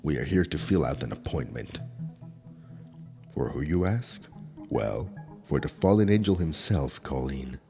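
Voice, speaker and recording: A man playing a stern male demon enforcer who is gruff but protective, speaking in a measured, scolding way in an ASMR audio roleplay. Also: animated, warm tone, soft background music, full character voice. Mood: gentle. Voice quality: deep gravelly husky voice. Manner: scolding, measured, low-key delivery.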